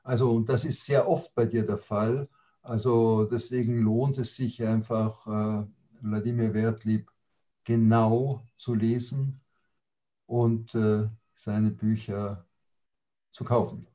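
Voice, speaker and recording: Older man with very even intonation. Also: lowish voice, takes it slowly. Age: 60s. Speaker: literary critic, discussion partner. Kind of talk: literary commentary in conversation. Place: indoors, book-lined study on a video call.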